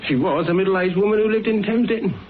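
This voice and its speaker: A man's voice: sits high, fast talker, lightly acted. A man acting a chirpy young British detective's assistant in a radio drama, breezy, slangy and matter-of-fact. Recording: thin narrowband radio recording, faint noise.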